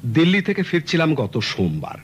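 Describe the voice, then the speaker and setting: An earnest, chatty client. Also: male voice, on the high side, wildly swooping pitch, moderate pace. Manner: courteous, earnest, explanatory storytelling. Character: polite middle-aged male client, fussy and earnest. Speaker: client telling his story. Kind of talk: 1970s radio drama acting. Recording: old radio recording with faint hiss.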